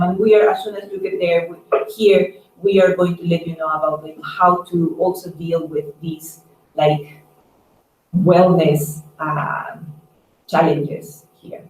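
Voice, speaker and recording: Female staff doctor for a conference call, halting and conversational, distant mic with faint room noise. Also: fairly low pitch, fairly even intonation, a little mellow, roomy sound. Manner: halting, frequent "like" and "right?". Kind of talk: advisory conference call talk.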